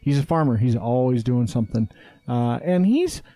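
Male podcast host, loose and rambling, mellow voice, dry studio mic. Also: mid-range voice, lively intonation, no echo. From Utah. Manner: rambling, halting, many fillers and restarts. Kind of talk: casual chat. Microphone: studio headset mic.

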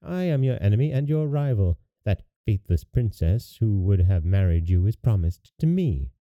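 A man's voice: on the low side, big pitch swings, moderate pace. A man voicing a wicked, boastful male dwarf in a storybook, defiant and taunting. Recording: roomy, narrow phone-like sound.

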